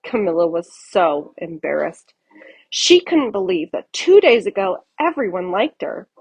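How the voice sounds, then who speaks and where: Animated, husky woman reading a picture book. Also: fairly low pitch, a little slow, no echo, muffled recording, faint music behind. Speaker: children's story reader. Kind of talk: expressive picture-book read-aloud.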